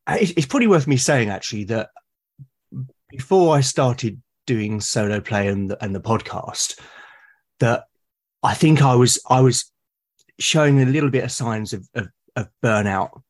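A British man as a podcast guest, talking in a thoughtful, measured way with small restarts. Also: mid-range voice, animated. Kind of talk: casual podcast chat.

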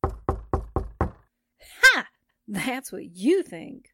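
A woman's voice: on the high side, wildly swooping pitch, drawn-out words, noisy background, full character voice. A woman acting a sardonic, wisecracking sidekick to a reporter in a 1940s-style radio drama. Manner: wry, wisecracking.

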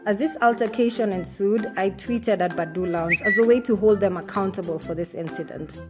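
A woman's voice: mid-range voice, fairly quick, over music. Woman reading a first-person essay.

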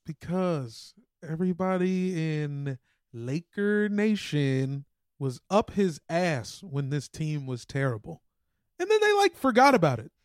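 A man's voice: a little high, big pitch swings, slow, no echo, clean studio sound. An American man co-hosting a podcast with casual, chatty sports banter. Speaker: podcast co-host.

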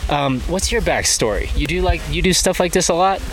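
A man interviewing runners outdoors at a race, asking quick, friendly questions with a joking tone and easy laughs. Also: on the high side, expressive, a bit bright, poor audio. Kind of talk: casual on-course interview. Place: outdoors at an ultramarathon.

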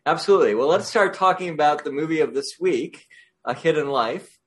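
A man's voice: a little high, animated, fairly quick. A man hosting a podcast, friendly and joking with his guests. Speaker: podcast host. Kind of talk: casual podcast conversation.

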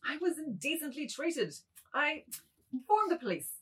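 A woman playing a meek, humiliated middle-aged man in a dramatised literary reading, simpering, plaintive and halting. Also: sits high, sing-song, a bit bright. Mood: meek, pleading.

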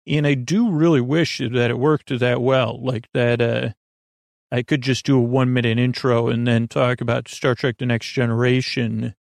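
Creaky-voiced man rambling through a bedtime monologue. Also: medium pitch, no room sound, studio quality. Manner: meandering, halting, stammering with repeated false starts. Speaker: sleep podcast host.

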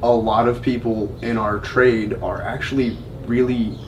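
A man's voice: mid-range voice, measured pace. Young guy guesting on a podcast, chill and candid, bit far from the mic with some faint hiss. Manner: relaxed, candid, easy laughs. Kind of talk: casual chat. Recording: distant mic with faint ambient noise.

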